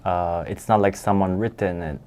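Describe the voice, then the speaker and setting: Low-voiced man. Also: steady tone, a little mellow. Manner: halting, non-native phrasing. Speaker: guest storyteller and educator. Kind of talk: informal explanation.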